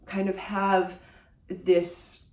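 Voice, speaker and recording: A woman whose first language is French talks casually to camera about a book she has read, thoughtful and often pausing on fillers. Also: medium pitch, completely flat delivery, darkish tone. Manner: thoughtful, hesitant, many uh fillers. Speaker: book vlogger. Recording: roomy echo.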